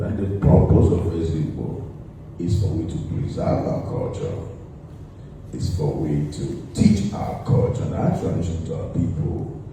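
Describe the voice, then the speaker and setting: A middle-aged community leader, a man, answering interview questions in a measured way and listing his points one by one. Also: a bass voice, dark tone. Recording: echoey room, voice far from the mic, faint noise.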